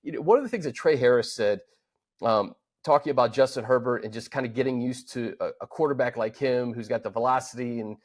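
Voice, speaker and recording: A man's voice: medium pitch, fast talker. An American man co-hosting a sports show, giving casual, earnest, conversational analysis into a studio microphone.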